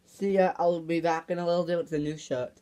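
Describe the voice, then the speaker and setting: A woman's voice: lowish voice, steady tone, brisk, mellow, slight background noise, close to an everyday voice. A woman voicing a laid-back teenage cartoon boy, casual and matter-of-fact, in an improvised character play. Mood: casual, firm.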